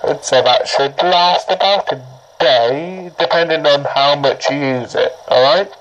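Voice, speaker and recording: A person giving an informal, unscripted how-to demonstration in a halting, repetitive way, often restarting sentences. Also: medium pitch, dull audio. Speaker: presenter of a gadget how-to. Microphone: camera or tablet propped nearby. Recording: noisy amateur recording with clicks.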